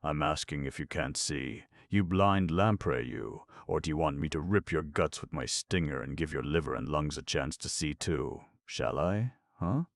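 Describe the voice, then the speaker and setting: A tipsy young lordling drawls and swaggers, shouting insults in a raised, blustering voice, put on by a man reading a storybook. The gravelly texture of a deep reader shows through. It is a close, dry recording. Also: slow pace, few pauses, dry recording, heavily acted. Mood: drunken bluster. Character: tipsy young male nobleman, drawling, boastful, quarrelsome.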